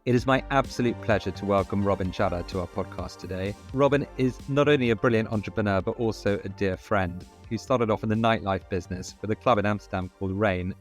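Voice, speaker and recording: A man co-hosting an interview podcast, introducing his guest and asking questions in a polished way. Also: on the low side. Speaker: podcast co-host.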